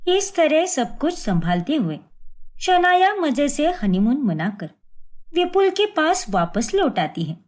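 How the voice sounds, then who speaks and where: A woman reads the narration of a cartoon story from a script. Her voice sits on the high side and rises and falls in an animated, expressive way. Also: slow pace.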